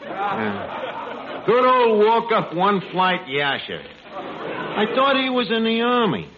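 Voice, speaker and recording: An actor playing a brash, slangy New bar manager who wisecracks and mangles big words in 1940s radio comedy style. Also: male voice, sits high, very animated, slow, crowd noise, exaggerated voice. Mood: sardonic. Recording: dull, noisy old radio recording.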